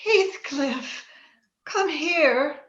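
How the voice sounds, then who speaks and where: Actress as fevered young lady, dreamy romantic radio acting, very high voice, echoey dull recording. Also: expressive, extremely slow, a bit bright, heavily acted. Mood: yearning, sorrowful. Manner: dreamy, yearning, theatrical. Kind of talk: old-time radio drama acting. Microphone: recorded remotely over video call. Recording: echoey, dull video-call sound, music underneath.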